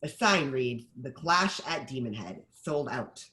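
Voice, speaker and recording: A woman's voice: extremely low pitch, lively intonation, unhurried, bright, forceful tone. A woman reading stage directions as scripted narration at a screenplay table read.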